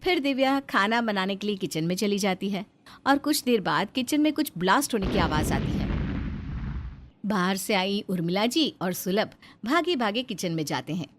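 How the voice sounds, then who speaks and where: A woman's voice: mid-range voice, lively intonation, normal speed, slight background noise. A woman narrator reading a scripted family moral story in storybook style.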